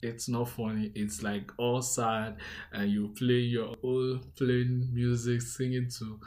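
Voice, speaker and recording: A man's voice: medium pitch, flat delivery, slow. Earnest young Nigerian man telling his story. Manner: earnest, conversational, warm asides and shout-outs. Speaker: podcast host. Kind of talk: personal storytelling monologue.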